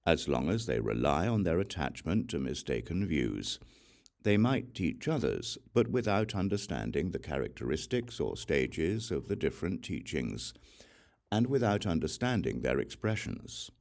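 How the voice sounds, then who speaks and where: A man reading scripture as audiobook narration, solemn, measured and deliberate in his delivery. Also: rumbling low, very animated, gravelly, darkish tone. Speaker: audiobook reader.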